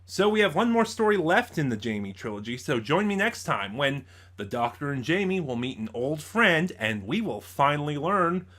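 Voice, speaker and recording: Man giving a halting, informal review. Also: fairly high pitch, big pitch swings, fairly bright tone. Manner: halting, thinking aloud, self-correcting. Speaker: audio drama reviewer.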